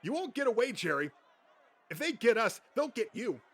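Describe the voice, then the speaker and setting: A man plays a bitter, loudmouthed comic-book nerd for a dub. The voice is pitched high and loud, a little husky, and it rants in accusing, profane, sarcastic bursts. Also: very animated, normal speed, full character voice. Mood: furious, shouting. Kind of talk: amateur comic dub acting.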